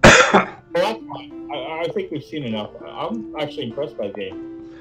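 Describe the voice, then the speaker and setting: A man's voice: fairly high pitch, sing-song, moderate pace, sharp tone, faint music behind, poor audio. A man chatting casually as co-host of a live game stream. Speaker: livestream co-host. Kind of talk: casual chat over a game.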